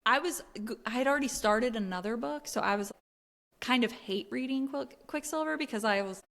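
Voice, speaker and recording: A young adult woman co-hosting a casual book podcast, chatty and animated, laughing often as she acts out little quotes. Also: on the high side, brisk, gravelly. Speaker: podcast co-host. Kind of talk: casual book club chat.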